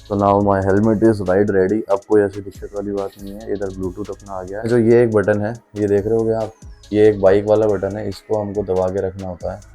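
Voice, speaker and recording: Man, low, even-toned casual demonstrator. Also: moderate pace, faint music behind. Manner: informal, chatty, addresses viewers as brother. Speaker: motorcycle vlogger and product reviewer. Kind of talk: casual hands-on product demonstration.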